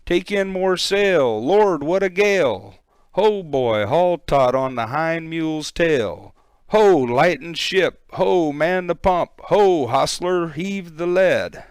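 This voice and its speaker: A man's voice: fairly high pitch, animated, slow, smooth, dry recording, voice pushed up. A man voicing a frantic boatman in a storybook poem, hollering urgent nautical orders. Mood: frantic, alarmed. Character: frantic boat captain and crew shouting storm orders.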